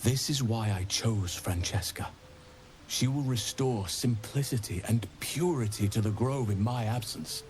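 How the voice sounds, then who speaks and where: An actor playing an earnest, learned druid burdened by regret, speaking in measured, formal phrases in a fantasy video game. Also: male voice, on the low side, darkish tone, natural voice. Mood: measured, resolute. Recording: game music behind.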